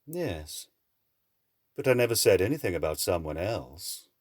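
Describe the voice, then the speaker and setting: A man's voice: deep voice, sing-song, measured pace, no echo, studio quality, full character voice. A man playing a suave, menacing villain in an audio drama, mannered, condescending and mockingly polite. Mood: cool, commanding.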